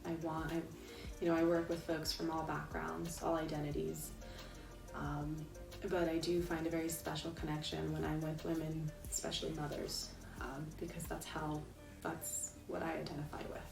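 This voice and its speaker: A young woman talks in a soft, reflective way, her voice sitting slightly low. She is set back from the mic in a roomy space. Clear music plays behind her. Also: little pitch movement, moderate pace, distant mic. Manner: soft-spoken, thoughtful, many ums and you-knows. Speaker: tarot reader and interviewee. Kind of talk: reflective personal talk.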